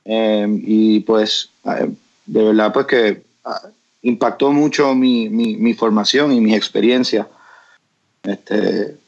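A man's voice: on the low side, fairly even intonation, slow, lo-fi sound. A man from Rico, a business guest answering in an interview, hesitant with many restarts and fillers. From Puerto Rico.

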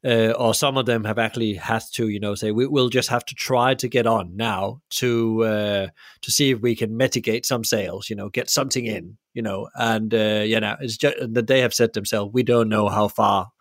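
A man's voice: fairly low pitch, fairly even intonation, normal speed, no echo. Hesitant man from Denmark, dry sound. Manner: hesitant, many fillers and restarts. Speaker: interview host. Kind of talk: conversational interview.

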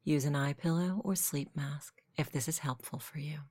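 A woman guiding a sleep meditation in a soft, whispery, husky voice, gentle and unhurried. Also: sits low, fairly even intonation. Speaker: meditation guide. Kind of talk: guided sleep meditation, slow soothing narration.

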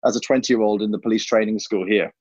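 A man's voice: on the low side, fairly even intonation, fast talker, darkish tone, breathy edge, dry recording. A man in his thirties from Hong Kong, answering interview questions in a rambling way, full of "you know". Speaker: interview guest. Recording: dull lo-fi sound.

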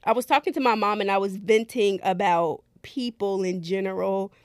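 A woman co-hosting a podcast in casual chat, lively and talkative, laughing often. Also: medium pitch, moderate pace, no echo, clean studio sound. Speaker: podcast co-host.

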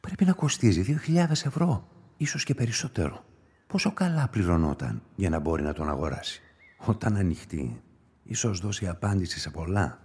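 An actor playing an observant police inspector musing to himself in a radio play. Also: male voice, sits low, sing-song, darkish tone, breathy edge, heavily acted. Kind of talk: radio play inner monologue.

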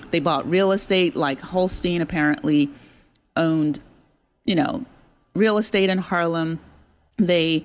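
A woman speaking as an interview guest, conversational, earnest and explanatory. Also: deep voice. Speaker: interview guest, audio drama writer.

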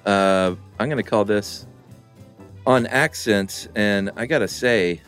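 A middle-aged man co-hosting a podcast, reading listener mail in a rambling, relaxed way with lots of fillers. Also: fairly low pitch, slow pace, faint music behind. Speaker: podcast co-host.

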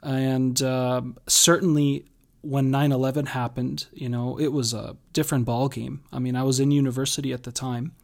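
A man's voice: medium pitch, flat delivery, moderate pace, gravelly. A man in his thirties from Massachusetts, a podcast guest talking casually about his upbringing, joking and filling his speech with "uh" and "you know". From Massachusetts, USA. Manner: chatty, joking, many fillers and restarts. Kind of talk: casual interview talk.

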